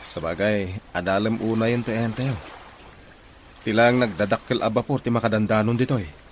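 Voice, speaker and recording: Man acting uneasy sailor, lowish voice, ilocano accent, radio drama, bit of water noise. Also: moderate pace, natural voice. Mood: curious, uneasy.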